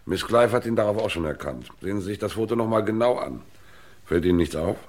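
A man acting as a police inspector in a radio crime play. His voice is low. He questions in short, businesslike phrases, brisk and probing. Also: animated, natural voice.

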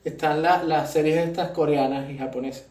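Distant, echoey woman, slightly low voice. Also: steady tone, brisk, darkish tone. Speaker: podcast co-host. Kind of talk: casual podcast chat. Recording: distant echoey mic, music behind the voice. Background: plucked guitar music.

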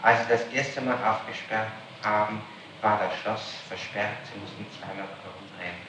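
A man's voice: lowish voice, steady tone, normal speed. A man conducting a formal police interrogation, asking probing, insistent questions on an old archival tape. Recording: very echoey, distant, noisy archival tape.

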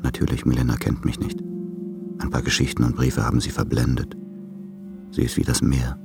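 A man's voice: very deep voice, completely flat delivery, music in the background. An actor playing a weary, anxious man of thirty-eight in a brooding, intimate radio drama monologue. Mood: weary, uneasy. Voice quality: gravelly, husky. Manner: brooding, hushed, deliberate.

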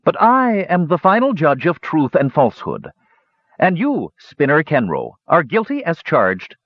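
A man's voice: fairly high pitch, huge pitch swings, a little slow, no room sound, pitched above the performer's own voice, exaggerated voice. A man voicing a cruel, smiling woman warlord who passes judgment in a cold, mocking, commanding way. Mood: sneering. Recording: dull lo-fi recording.

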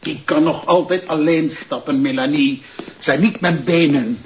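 An actor with a Flemish accent playing an imperious, cynical, ailing gentleman villain, his voice rough and husky. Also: on the high side, a little slow, a little hiss or hum, close to an everyday voice. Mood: stubborn, commanding. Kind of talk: dramatic screen or radio acting. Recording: dull lo-fi sound.

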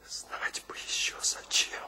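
An actor playing a weary, self-blaming husband who feels old and grey, half-whispering in a radio drama. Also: male voice, slow, bright, forceful tone. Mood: weary despair. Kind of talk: theatrical radio drama acting.

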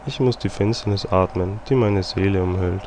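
An actor playing a morose, melodramatic goth in a parody audio drama, solemn in manner. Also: male voice, low-pitched, normal speed, background noise, close to an everyday voice. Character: gloomy, melodramatic undead goth brooder.

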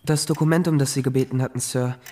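A man's voice: medium pitch, steady tone, fast, mellow, slight background noise, lightly acted. An actor playing a shy, obedient office boy in a German radio drama, respectful and a little hesitant.

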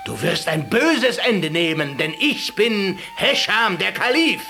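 Man putting on a furious, high-pitched imperious Caliph. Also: sing-song, slow pace, vocal fry, over music, heavily acted. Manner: loud, declamatory, menacing. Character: imperious, furious Caliph threatening death in a fable. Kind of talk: storytelling within a radio play.

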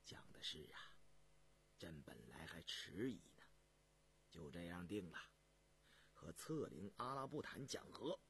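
A man voicing a weary ageing Chinese emperor in a storybook reading, low, breathy and hushed, speaking slowly with commanding deliberation. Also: big pitch swings, a little hiss or hum, heavily acted. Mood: measured, approving. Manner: slow, deliberate, imperial.

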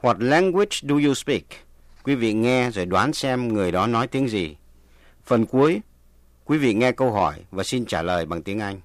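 A man's voice: mid-range voice, animated, moderate pace, no room sound, studio quality. A native Vietnamese man reading scripted language lesson narration as the presenter.

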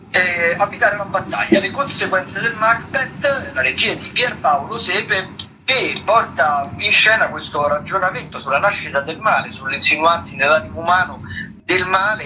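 Guy on radio doing theatre listings, kinda high voice, rambles and rephrases, music behind him. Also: distant mic, poor audio. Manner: halting and digressive, rephrasing as he goes. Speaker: radio theatre critic reviewing and listing shows. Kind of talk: informal spoken radio rundown of theatre listings.

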